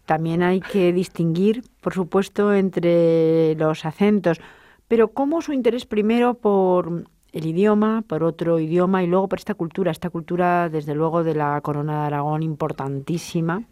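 A woman's voice: fairly low pitch, normal speed, mellow, no room sound. A woman hosting an interview in a university studio, articulate and welcoming, asking long flowing questions. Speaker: radio interview host. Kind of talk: radio interview.

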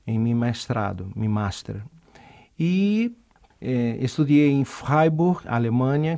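A man's voice: medium pitch, dark tone. A man from Rio de Janeiro, Brazil, whose first language is Portuguese, speaking with a carioca accent as a professor guest in an interview, thoughtful and hesitant with fillers. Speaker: university art history professor.

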